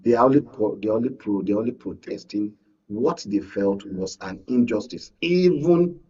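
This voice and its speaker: Husky Nigerian man, slightly distant and muffled. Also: on the low side, lively intonation, normal speed, dark tone. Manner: insistent, holds the floor, repeats phrases. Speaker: panel guest, media analyst. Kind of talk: political panel discussion. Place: radio and TV studio.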